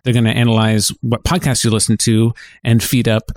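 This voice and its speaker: A man's voice: mid-range voice, little pitch movement, a little fast, no echo, clean studio sound. A man co-hosting a podcast, chatting casually in loose, informal phrasing. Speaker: podcast co-host. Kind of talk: casual chat.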